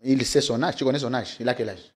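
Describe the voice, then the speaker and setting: A man's voice: mid-range voice, fast talker. A man, a political guest arguing insistently and talking over the host. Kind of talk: heated political debate.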